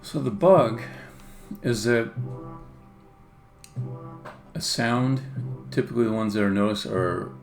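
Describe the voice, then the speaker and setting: A man giving casual live-stream commentary as a game developer, explaining things in a rambling, halting way. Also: medium pitch, creaky, soft background music.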